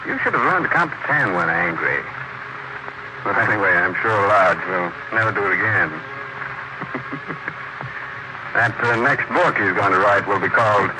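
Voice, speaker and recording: An actor playing a mocking, ghoulish host of a 1940s radio horror show, sardonic and mock-polite with dry dark humour. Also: male voice, on the low side, lively intonation, normal speed, lightly acted. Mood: gleefully macabre. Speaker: host of a mystery radio series. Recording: noisy vintage 1940s radio recording.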